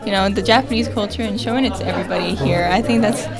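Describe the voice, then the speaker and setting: A woman's voice: medium pitch, rapid-fire. A woman chatting in an upbeat, friendly way as a guest on a live event broadcast. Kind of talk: casual chat. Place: live pop-up event at a market. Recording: busy event crowd and music. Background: crowd chatter and music.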